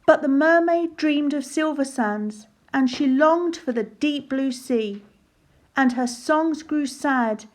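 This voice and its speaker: High-pitched woman reading a children's story. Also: slow pace. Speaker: storybook narrator. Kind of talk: children's rhyming story read aloud.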